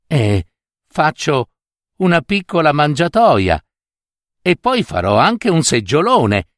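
Man acting a little boy, fairy-tale grandson, innocent, guileless, raised pitch, unhurried. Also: sing-song, dry recording, clean studio sound. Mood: innocent, earnest.

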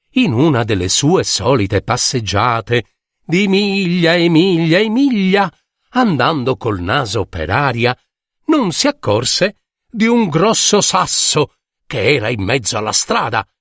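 Slow, animated male fairy-tale narrator. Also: medium pitch, no room sound, studio quality. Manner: theatrical, lingering on repeated words. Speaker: storyteller.

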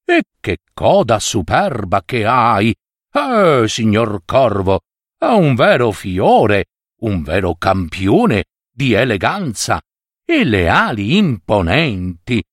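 A man voicing a sly, flattering fox with a wheedling, drawn-out manner. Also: lowish voice, dry recording, studio quality, full character voice. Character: sly flattering vixen, sweet-talking and mocking.

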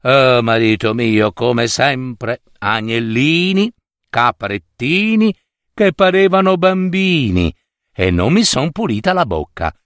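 A man's voice: medium pitch, animated, takes it slowly, a bit bright, smooth, no echo, studio quality. A man voicing a slyly innocent ogre's daughter, a young wife, in a singsong protesting manner for a storybook. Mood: coy, protesting.

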